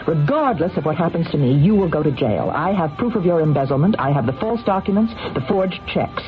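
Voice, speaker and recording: A woman's voice: low-pitched, lively intonation, fairly quick, over music. An actress playing a cold, calculating, domineering woman employer in an old radio drama, commanding and coolly persuasive. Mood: impatient, commanding. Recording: tinny narrow-band old radio recording.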